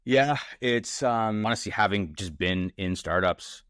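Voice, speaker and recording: Deep-voiced founder in his thirties. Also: unhurried, dry recording, studio quality. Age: 30s. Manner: energetic, thinks aloud with many restarts and fillers. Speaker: startup founder, podcast guest. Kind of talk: casual interview conversation. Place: Toronto.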